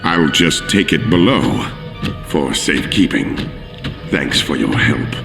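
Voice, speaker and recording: Officious male commander for game cutscenes: rumbling bass, lively inflection, formal, guarded delivery. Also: full character voice. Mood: guarded, polite. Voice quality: deep, gravelly. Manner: measured, formal, terse. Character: guarded, officious male alien-police officer giving mission orders. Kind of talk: video game cutscene acting. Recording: loud music behind. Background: game soundtrack music.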